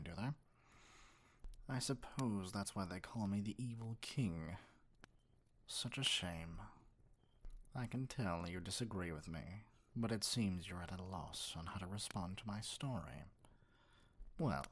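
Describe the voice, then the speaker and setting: A man playing an arrogant, dominant evil sorcerer king in an ASMR roleplay, speaking in a measured, condescending, breathy near-whisper. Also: deep voice, heavily acted. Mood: smug, commanding.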